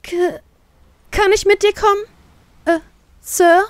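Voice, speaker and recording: Frau spielt schüchterne junge Frau, stark hallend. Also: female voice, extremely high pitch, steady tone, slow, voice pushed up. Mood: frightened. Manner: hesitant, nervous stammering. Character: timid, flustered young woman, shy and devoted. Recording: very echoey, reverberant voice.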